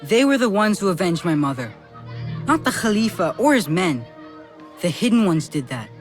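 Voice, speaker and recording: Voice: mid-range voice, big pitch swings, normal speed, faint music behind, natural voice. A performer playing an earnest, insistent, daring child in a video game. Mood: earnest conviction. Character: earnest, daring child, a devoted young believer.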